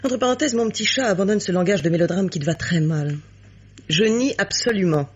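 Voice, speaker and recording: A woman's voice: medium pitch, fairly quick, slightly rough, lightly acted. An actress playing a poised, sugary and coolly ironic rival woman in a 1950s radio mystery style. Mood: coolly mocking. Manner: honeyed, condescending, coolly ironic. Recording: dull, noisy vintage radio recording.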